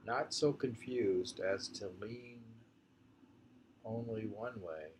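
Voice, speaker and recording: A man reading poems aloud and discussing them casually, in a deliberate way, repeating lines and musing on them. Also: fairly low pitch, darkish tone, slight background noise. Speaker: poetry reader and commentator.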